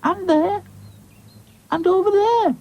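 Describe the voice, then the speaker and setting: Storybook doll, small timid girl, plaintive, wailing, tiny high voice. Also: takes it slowly, warm tone, muffled recording, full character voice. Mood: calling.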